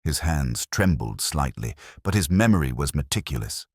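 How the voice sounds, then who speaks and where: A man narrating a scripted first-person detective story in measured, literary phrasing. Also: extremely low pitch, big pitch swings, darkish tone, husky, no echo, clean studio sound.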